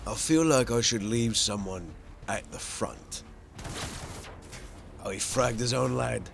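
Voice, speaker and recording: A man's voice: mid-range voice, takes it slowly, gravelly, a little hiss or hum. A man commentating over his playthrough of a strategy game, musing aloud and talking to the characters, with game music behind him. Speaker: gaming channel host.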